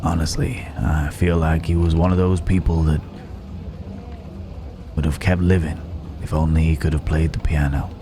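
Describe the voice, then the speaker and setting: A man playing a gallant yet menacing Wild West cowboy in an intimate ASMR roleplay, unhurried, with pauses and folksy old-fashioned phrasing. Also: rumbling low, little pitch movement, background noise. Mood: sombre, nostalgic. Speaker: voice actor in an audio roleplay.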